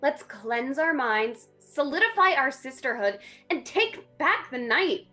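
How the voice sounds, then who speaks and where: A woman playing a steady, supportive young sorority sister in a dramatic reading. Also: sits high, a little slow, faint music behind, heavily acted. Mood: resolute.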